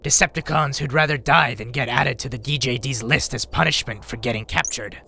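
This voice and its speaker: A man playing a grumpy, sardonic veteran robot medic in an audio drama, with a gravelly voice and a dry, blunt manner. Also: mid-range voice, brisk, noisy background, close to an everyday voice. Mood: needling. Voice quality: gravelly, creaky.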